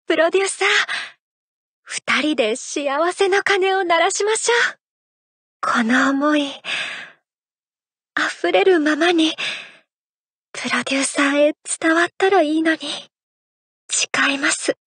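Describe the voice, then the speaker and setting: A sweet young idol girl, high voice, slow and dreamy, dry studio sound. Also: no echo. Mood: tender. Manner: soft, intimate, affectionate lines. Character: graceful, playful young adult female idol. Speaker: idol character in a mobile game. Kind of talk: game character voice lines. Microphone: studio mic.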